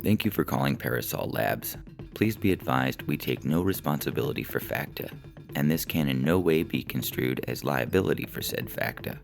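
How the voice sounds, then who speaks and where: A man playing an unflappable call-center agent in an audio story, speaking in a polite, deadpan, bureaucratic way. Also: a bass voice, normal speed, gravelly, soft background music, heavily acted. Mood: officious.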